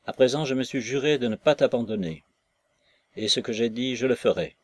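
A man's voice: mid-range voice, a little fast, darkish tone, no room sound, lightly acted. A man voicing a remorseful nobleman who speaks in an earnest, pleading way.

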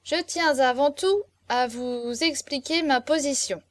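A woman's voice: high voice, unhurried, dry recording, voice pushed up. A woman voicing a drunken young marquis in a storybook, a pompous gallant who stubbornly keeps on. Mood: tipsy, insistent. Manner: pompous, imperturbably tenacious.

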